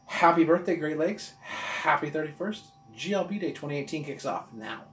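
A man's voice: a little high, animated, normal speed, a bit bright, a little hiss or hum. Enthusiastic man in his forties, casual reviewer, recorded in a roomy, echoey space. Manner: enthusiastic, chatty, talks with his hands. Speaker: beer reviewer. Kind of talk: casual beer review vlog. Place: room with walls of beer bottles, indoors.

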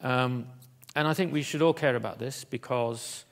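Gravelly-voiced man giving a halting keynote. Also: medium pitch. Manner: halting, many fillers and restarts. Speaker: keynote speaker. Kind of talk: conference keynote talk.

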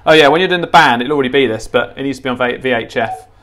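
Casual man, faint-to-clear background noise. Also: mid-range voice, very fast, a bit bright. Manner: informal, repeats himself, thinks aloud. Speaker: presenter of a gadget how-to. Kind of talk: casual hands-on tutorial, unscripted. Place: indoors, tiled kitchen counter.

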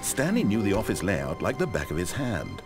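Omniscient game narrator, British accent, lowish voice, hugely animated intonation, wry, deliberate, articulate, theatrical storytelling. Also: male voice, music in the background.

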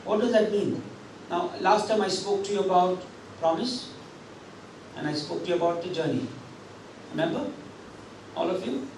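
A man's voice: sits high, normal speed, pauses often, darkish tone, a little hiss or hum. A man in his thirties preaching a sermon as pastor into a handheld mic in a church hall, talking conversationally with many fillers and restarts. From India. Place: church hall with drum kit. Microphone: handheld microphone. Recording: very echoey hall, picked up from a distance.

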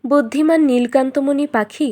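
A woman storyteller reading a scripted fairytale in an expressive, dramatic way. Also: high-pitched, normal speed.

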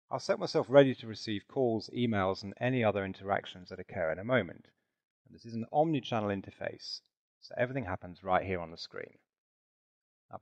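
Male presenter, lowish voice, informal and chatty, software demo narration, dry close sound. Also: vocal fry, no echo. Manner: chatty, informal, rhetorical asides. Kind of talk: conversational product demo narration with role-play.

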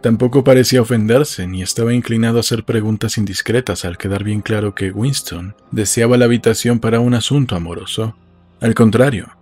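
A man's voice: mid-range voice, darkish tone. A man reading a literary audiobook as a scripted narrator. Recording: music behind.

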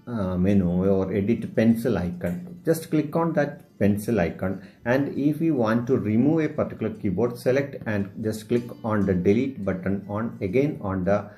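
A man with an Indian English accent and Malayalam as his first language, talking through a screen-recorded phone tutorial with many pauses and filler sounds. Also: fairly low pitch, animated, mellow, soft background music. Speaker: teacher.

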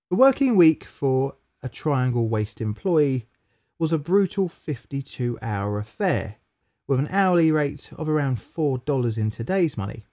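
Measured male documentary narrator. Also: mid-range voice, warm tone. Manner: measured, matter-of-fact storytelling with stressed key words.

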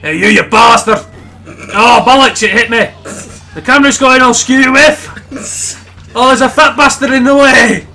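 Man putting on a brash, bright-voiced Scottish bloke. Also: medium pitch, normal speed, close to an everyday voice. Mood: loud, riled. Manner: heavy swearing, blunt, shouty. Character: brash, foul-mouthed, angry-sounding Scottish man. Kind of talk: comic gameplay commentary in character. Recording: music behind the voice.

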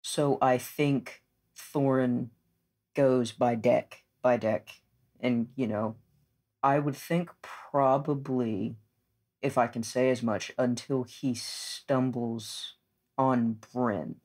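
Male roleplay gamer, mid-pitched, very unhurried, casual, thinking out his character's moves aloud. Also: steady tone, a little mellow. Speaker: tabletop game player.

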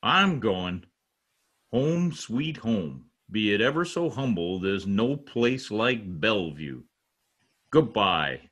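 A man's voice: lowish voice, very animated, takes it slowly, a bit bright, full character voice. An older man playing a pompous, grumbling husband and hammy actor in an amateur old-time radio comedy. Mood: sarcastic. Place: home office, video call. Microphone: video call.

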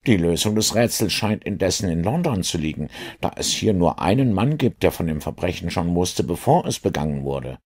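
Man reading as earnest, lively police inspector. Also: low voice, a little fast, few pauses, husky, exaggerated voice. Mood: puzzled, probing. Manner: questioning, insistent. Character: earnest, sceptical, matter-of-fact male police inspector.

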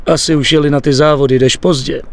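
Actor as higher-voiced polite bartender. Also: male voice, steady tone, slight background noise, lightly acted. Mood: casual, polite. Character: polite male bartender of a mob bar. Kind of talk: dubbed video game cutscene acting.

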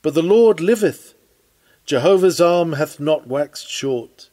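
Higher-voiced man reading a sermon. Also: animated, slow pace, warm tone. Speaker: sermon reader. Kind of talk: reading a 19th-century sermon aloud.

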